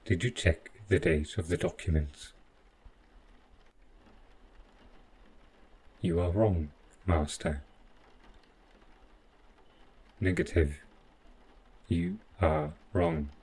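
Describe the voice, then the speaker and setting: A man playing an overprotective, stiffly polite robot butler in an audio roleplay, speaking in formal, deliberate phrases. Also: deep voice, steady tone, darkish tone, slightly rough, full character voice. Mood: calm, formal. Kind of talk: scripted audio roleplay addressed to the listener.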